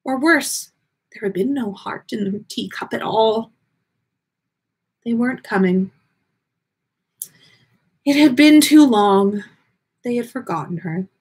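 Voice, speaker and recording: A melodramatic fortune-teller as a woman reader puts her on, dreamy and easily flustered. Her slightly husky voice swoops up and down, halting and trailing off slowly, in storybook style. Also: fairly high pitch, animated, darkish tone, heavily acted. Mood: despairing.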